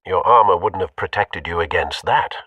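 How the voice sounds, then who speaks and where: An actor playing a dry-witted, dutiful older butler in a video game, formal and gently sardonic. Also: male voice, deep voice, moderate pace, breathy edge, no echo, far from the mic, dull audio, full character voice. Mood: dry, concerned.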